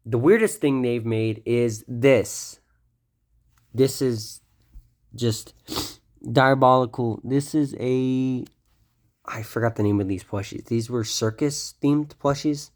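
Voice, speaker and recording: A man's voice: mid-range voice, darkish tone. A young man casually showing off his toy collection, rambling with lots of ums and self-corrections, his voice a bit stuffy from a cold. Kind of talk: casual show-and-tell of a collection.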